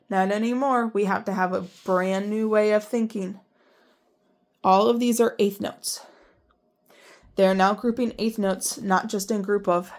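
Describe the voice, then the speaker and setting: Congested woman counting rhythms slowly. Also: medium pitch. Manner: counts rhythms aloud in strict, even syllables; chatty and self-deprecating between counts. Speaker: music teacher. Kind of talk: homework lesson, counting rhythms aloud.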